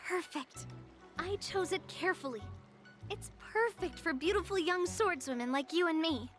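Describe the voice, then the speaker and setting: A woman's voice: very high voice, animated, moderate pace. A woman voicing a cocky, competitive young girl sword master in an anime game, haughty and boastful, with music behind her. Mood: smug, competitive. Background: game background music.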